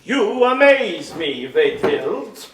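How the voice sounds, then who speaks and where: A man plays a regal king who is fond, wry and commanding. He speaks slowly and formally in a fairly high voice. It is a staged musical reading, heard from afar in an echoey space. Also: sing-song, noisy background, heavily acted. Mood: amused, fond. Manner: stately, measured, formal wording. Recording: echoey stage hall, far microphone.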